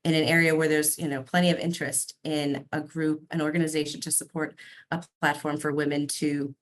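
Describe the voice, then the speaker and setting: Low-voiced woman telling her career story. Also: little pitch movement, fairly quick. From Massachusetts, USA. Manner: chatty, lots of ums, upbeat. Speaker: executive director of a nonprofit, interview guest. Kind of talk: interview storytelling.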